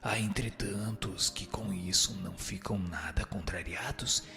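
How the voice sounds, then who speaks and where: A man playing a solemn male spirit who answers questions in a formal, measured, didactic way for a scripted radio drama. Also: lowish voice, gravelly, sharp tone, soft background music, exaggerated voice.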